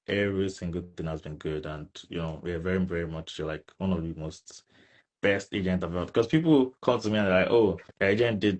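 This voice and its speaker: A young man with a Nigerian accent, chatting casually as an interview guest and repeating words for emphasis. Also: deep voice. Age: young adult. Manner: emphatic repetition, frequent tags like "right" and "you know". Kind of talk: casual chat.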